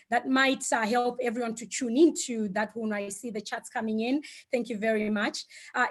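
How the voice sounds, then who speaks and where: A woman whose first language is Shona, giving an online talk over a video call, with frequent fillers and gentle hesitations. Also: on the high side. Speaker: guest speaker.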